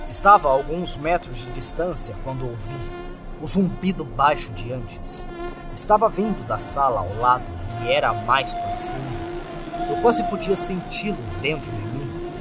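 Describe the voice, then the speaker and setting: A man with a Brazilian accent reading a scripted first-person horror story as its narrator. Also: mid-range voice.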